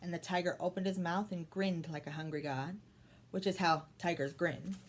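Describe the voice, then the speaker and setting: A woman's voice: on the low side, steady tone, normal speed, slight background noise. A woman storyteller reading a children's story expressively.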